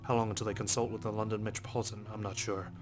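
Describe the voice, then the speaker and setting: A man's voice: fairly low pitch, flat delivery, very fast, noisy background. A man hosting a let's play, reading a visual novel's first-person narration aloud. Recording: music under the voice. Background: game music.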